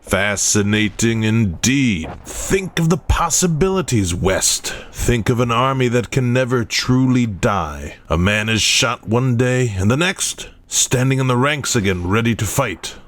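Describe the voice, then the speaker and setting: An actor playing an eager, ambitious army major awed by grim research, with theatrical, emphatic delivery in a horror audio drama. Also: male voice, medium pitch, expressive, a little slow, few pauses, a bit bright. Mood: fascinated, scheming. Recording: faint background noise.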